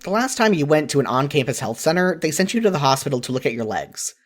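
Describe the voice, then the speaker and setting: A narrator reading a second-person story aloud in an expressive style, as in a fan fiction audiobook. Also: medium pitch, fast talker.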